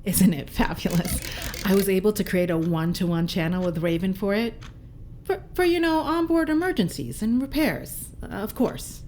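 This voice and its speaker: A woman's voice: medium pitch, expressive, moderate pace, darkish tone, a little hiss or hum, close to an everyday voice. A woman playing a breezy, teasing and evasive crew member aboard a spaceship in an audio drama. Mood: breezy, proud.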